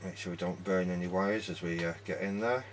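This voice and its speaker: Male hobby repairer, low voice, informal step-by-step working commentary, noticeable background noise. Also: fairly even intonation, brisk. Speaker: hobbyist electronics repairer and presenter. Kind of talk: casual hands-on repair commentary.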